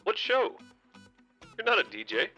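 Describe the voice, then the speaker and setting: A man's voice: high-pitched, very animated, unhurried, bright, forceful tone, full character voice. A man playing a flippant, distracted sidekick in an audio drama, in a dull lo-fi recording. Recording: dull lo-fi sound with music.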